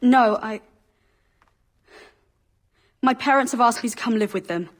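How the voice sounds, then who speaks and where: An actress playing a gentle, disappointed young wife in a game drama, speaking earnestly and with restraint. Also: female voice, on the high side, unhurried, noisy background, natural voice. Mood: apologetic, reproachful. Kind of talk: game cutscene drama acting.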